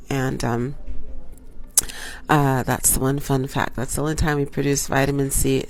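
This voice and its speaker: A woman doctor giving a casual health talk to her viewers, congested from a cold and pausing often with ums and self-corrections. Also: sits low, vocal fry. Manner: unhurried, halting with frequent ums and self-corrections. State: congested from a cold, occasional cough. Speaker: obstetrician gynecologist hosting a health show. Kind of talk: casual informational talk to camera.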